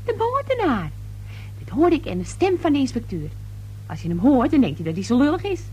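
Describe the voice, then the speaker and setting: An actress playing a gossipy, suspicious working-class household help in a radio play, coarse, chatty and easily indignant. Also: female voice, high voice, huge pitch swings, fairly quick, dark tone, lo-fi sound. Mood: gossipy, knowing.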